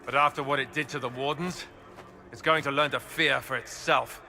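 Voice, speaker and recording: An actor playing an earnest, wry warrior in a fantasy video game, with an argumentative edge. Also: male voice, medium pitch, steady tone, normal speed, creaky, bright, forceful tone, slight background noise. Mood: grim resolve. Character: earnest, wry male warrior of a sworn order.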